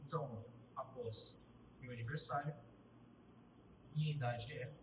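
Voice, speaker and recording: A young man giving a casual live coding tutorial, thinking aloud as he types, with pauses and restarts. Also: mid-range voice, recorded from a distance, noisy background. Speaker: student presenter. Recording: roomy echo, voice off-mic.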